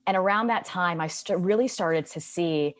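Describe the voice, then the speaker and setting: A woman's voice: on the low side, normal speed. A woman in her thirties speaking on a webinar panel as a founder, telling her career story in an earnest way with long connected sentences. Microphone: video call.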